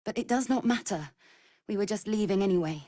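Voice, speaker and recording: A woman's voice: medium pitch, fairly quick, warm tone, dry recording, clean studio sound, close to an everyday voice. A woman playing an anxious, devoted vampire spawn in a fantasy video game, speaking formally and earnestly. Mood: formal, guarded. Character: anxious, devoted female vampire spawn serving her master.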